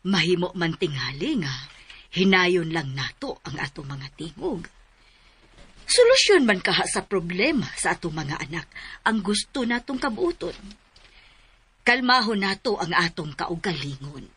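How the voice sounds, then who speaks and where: A woman with a Cebuano Filipino accent acting a calm, hospitable widowed mother in a radio drama, polite and placating. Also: on the low side, a little slow, slightly rough, exaggerated voice. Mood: pleading, placating.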